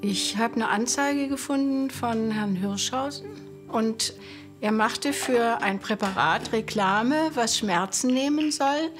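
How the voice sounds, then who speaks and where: Elderly woman, higher-pitched, recounting hesitantly. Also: slow, over music. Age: 80s. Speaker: interviewee, physician. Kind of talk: personal account in an interview.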